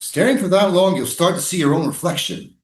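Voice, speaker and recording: A woman acting a weary, sardonic army prison guard in a staged reading, with a dry deadpan delivery. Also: lowish voice, brisk, echoey room, close to an everyday voice.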